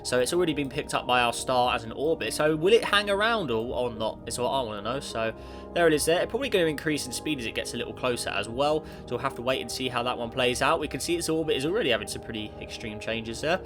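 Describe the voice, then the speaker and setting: Man, has a cold, sniffly, casual gameplay commentary, rambling, middle pitch, music playing clearly behind. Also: lively intonation, quick pace. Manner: rambling, self-correcting, talks to the game. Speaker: gaming YouTuber. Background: background music.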